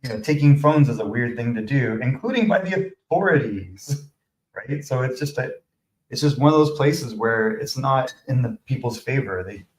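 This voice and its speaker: A man's voice: medium pitch, lively intonation, moderate pace, roomy sound, poor audio. Canadian man chatting on a livestream. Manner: chatty, defensive, talks to viewers by name. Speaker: live stream host. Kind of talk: casual live commentary, replying to chat.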